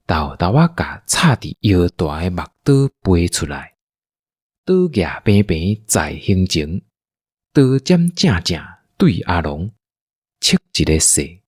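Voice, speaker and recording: A man with a Taiwanese accent narrating a scripted radio drama in an animated, dramatic storytelling manner. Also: sits low, takes it slowly, husky, no room sound, clean studio sound.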